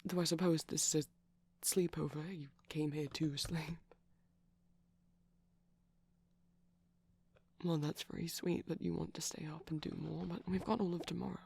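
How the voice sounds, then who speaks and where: Gentle, bashful vampire boyfriend voiced by a man for intimate ASMR audio; breathy, creaky, halting, half-whispered delivery. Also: a little high, little pitch movement. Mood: bashful, tender. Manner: hesitant, soft-spoken, often slipping into whispers. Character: shy, gentle pastel vampire boyfriend, soft and sweet. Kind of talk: ASMR roleplay, soft intimate rambling.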